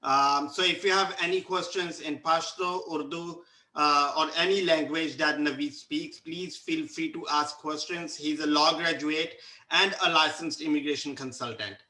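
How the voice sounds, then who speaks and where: A man's voice: fairly high pitch, steady tone, normal speed, pushed and bright. A man hosting a company webinar, speaking hesitantly with many fillers and restarts. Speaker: webinar host. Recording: echoey room.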